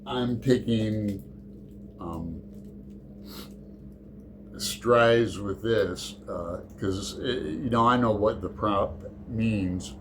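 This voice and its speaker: A man's voice: lowish voice, a little hiss or hum. A man hosting his book channel, chatting through a tag in a rambling, hesitant way with lots of fillers. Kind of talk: casual book tag chat.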